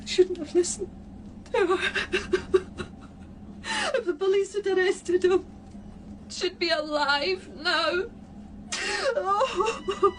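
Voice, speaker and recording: This is a grieving woman in a radio drama, crying and bitter. Her voice is extremely high and very slow. She sounds distant, with clear noise around her. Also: exaggerated voice. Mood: anguished grief. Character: grief-stricken bitter woman, tearful and wailing. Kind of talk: radio crime drama acting. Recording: distant, clearly noisy background.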